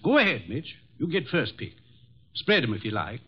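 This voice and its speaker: An actor playing a cold, terse, scheming business partner in an old radio drama. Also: male voice, mid-range voice, very animated, normal speed, slightly rough. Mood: cool, businesslike. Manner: terse, controlling. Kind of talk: 1950s radio drama acting. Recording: muffled old radio broadcast recording.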